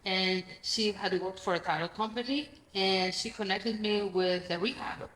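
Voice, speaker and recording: Brief: woman from Greece, a chatty and animated storyteller, recorded in a roomy space. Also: medium pitch, normal speed, a little hiss or hum. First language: Greek. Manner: animated storyteller, acts out quoted dialogue, frequent "I'm like". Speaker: guest, real estate investor. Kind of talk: casual interview chat. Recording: echoey room with faint ambience.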